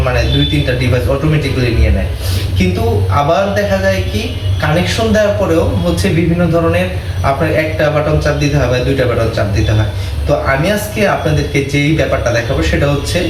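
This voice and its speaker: A man giving a casual spoken tutorial as a channel host, explaining step by step and often repeating himself. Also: a little high. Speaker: motorbike rider and video channel host. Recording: echoey, distant mic, noisy ambience.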